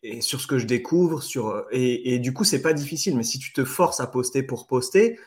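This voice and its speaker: A man speaking as a guest on a live talk, relaxed and casual, often repeating words as he goes. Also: mid-range voice, moderate pace. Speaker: guest storyteller.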